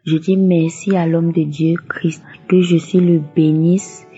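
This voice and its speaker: Low-voiced woman giving muffled religious testimony. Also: level tone, unhurried, warm tone. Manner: devout, repeatedly giving thanks. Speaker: testimony giver. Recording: muffled lo-fi audio over music. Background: background music.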